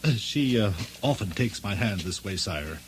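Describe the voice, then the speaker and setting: A man plays a crooked doctor in a radio comedy, oily and formal. His voice sits fairly low, with a little noise behind. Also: lively intonation, normal speed, close to an everyday voice. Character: smooth, greedy physician, seductive fraud. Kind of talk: comic radio drama acting.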